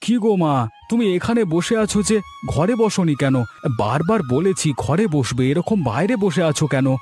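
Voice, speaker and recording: A man's voice: a little high, lively intonation, moderate pace, darkish tone, slightly rough. A man voicing a hardworking, devoted village fisherman and family man in a moral cartoon story. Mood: mildly scolding. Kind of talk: Bengali moral cartoon dubbing. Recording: music behind the voice.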